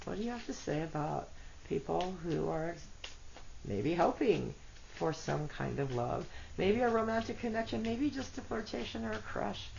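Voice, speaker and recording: A woman with a congested, rough voice gives a chatty tarot reading, talking to her cards and her viewers. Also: fairly low pitch, expressive, moderate pace, a little mellow. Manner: chatty, self-deprecating, talks to cards and viewers. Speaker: tarot reader. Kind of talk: casual solo tarot reading. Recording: dull lo-fi audio with faint noise.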